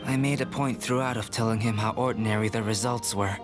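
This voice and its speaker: A man voices a young scholarly alchemist in an anime-style video game. His voice sits fairly low, and he speaks calmly in formal, measured, contemplative phrases. Music plays clearly behind him. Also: lightly acted. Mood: pensive.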